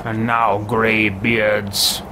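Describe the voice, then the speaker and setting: A man's voice: on the low side, level tone, extremely slow, voice pushed down. A man in his thirties voicing a haughty, scheming adventurer who talks in a theatrical, archaic and pompous way and mutters to himself while playing at home. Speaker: let's-play host. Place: home room with shelves, indoors. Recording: noisy home desk recording.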